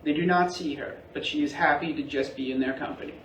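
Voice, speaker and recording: A man's voice: a little high, fairly even intonation, quick pace, a bit bright. A man reading stage directions as scripted narration at a staged play reading. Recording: echoey, distant mic, faint noise.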